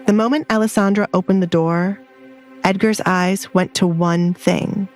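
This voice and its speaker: A woman's voice: mid-range voice, fairly even intonation, unhurried, darkish tone. Woman narrating a romance story over music. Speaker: audiobook narrator. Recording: background music under the voice.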